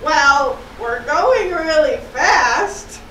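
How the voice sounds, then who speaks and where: An eager little puppet sailor, voiced by a woman, speaks emphatically at a very high pitch. The delivery is unhurried and swoops up and down. It sounds like a children's show in a roomy space. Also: animated, pushed and bright, full character voice. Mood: alarmed. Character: eager, excitable young puppet sailor creature. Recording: roomy echo, slightly distant mic, faint noise.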